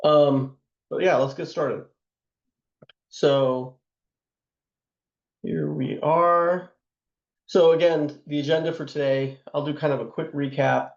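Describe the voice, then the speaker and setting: A man teaching a class on investing, hoarse from a sore throat, talking in a loose conversational way with plenty of fillers and asides. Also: on the high side, steady tone, takes it slowly, pauses often, roomy sound, lo-fi sound. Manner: loose and conversational, many fillers and tag questions. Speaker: instructor. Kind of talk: lecture on investing.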